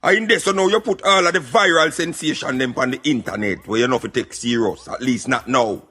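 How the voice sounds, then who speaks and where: A man's voice: medium pitch, brisk, sharp tone, husky, soft background music. A man playing an opinionated Jamaican radio commentator, lecturing emphatically in patois and repeating words for stress. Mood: reasoning. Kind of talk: satirical social commentary in patois.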